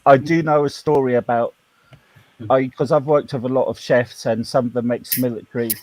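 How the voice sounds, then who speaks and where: A man's voice: medium pitch, fairly even intonation, moderate pace, darkish tone, poor audio. A British man on an online panel, chatting casually and bluntly, calling people "mate". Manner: blunt, says "mate" a lot.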